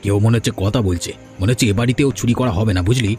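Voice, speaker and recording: Low-voiced grumbling village man played by an actor. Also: fast talker, darkish tone, soft background music, lightly acted. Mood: scheming, wary. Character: male village thief and weary elder brother, grumbling. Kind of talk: Bengali cartoon fairy-tale dubbing.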